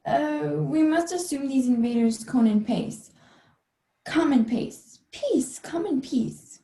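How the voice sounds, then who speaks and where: A woman's voice: high-pitched, lively intonation, slow, warm tone, heavily acted. A young woman playing a bumbling army general who stumbles over her words in a comedic radio play. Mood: solemn, bumbling. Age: young adult. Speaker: student actor. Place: bedroom at home. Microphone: laptop on a video call. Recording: roomy, echoey bedroom sound.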